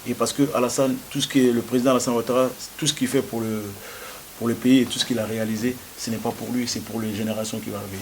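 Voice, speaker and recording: A man's voice: mid-range voice, little pitch movement, a little hiss or hum, poor audio. A young man born in France, answering interview questions with frequent hesitations and restarts. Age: young adult. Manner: halting, many hesitations and restarts.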